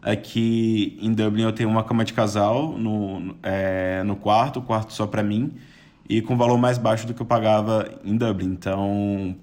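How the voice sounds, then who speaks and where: A young man with a Brazilian Portuguese accent chats as a podcast guest. His voice sits a little low. He rambles easily, restarting his sentences and laughing now and then. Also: darkish tone. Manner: chatty, with frequent restarts and laughs. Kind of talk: casual podcast chat.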